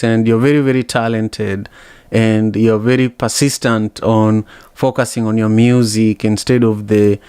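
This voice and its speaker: A man's voice: medium pitch, clean tone, no echo, clean studio sound. A man hosting a podcast interview, talking through event plugs and questions in a conversational way, with frequent fillers. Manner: warm promotional chatter with frequent fillers. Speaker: podcast host.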